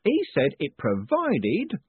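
A man's voice: a little high, wildly swooping pitch, slow, husky, voice pushed up, heavily acted. A man voicing a cheerful, chatty male prison warden who talks in a chummy, rambling, deferential way. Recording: tinny, phone-like dry sound.

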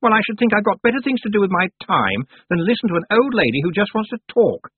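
Prickly, defensive young witch girl read by a man, high and shrill, swinging pitch, dry tinny audio. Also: wildly swooping pitch, fairly quick, breathy edge, no room sound, exaggerated voice. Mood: indignant. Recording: dry, tinny phone-like sound.

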